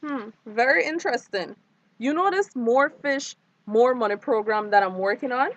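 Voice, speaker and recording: An actress playing an earnest, respectful fisheries worker in a drama, explaining politely. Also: female voice, a little high, expressive, moderate pace, muffled recording. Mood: interested. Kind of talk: naturalistic radio drama acting.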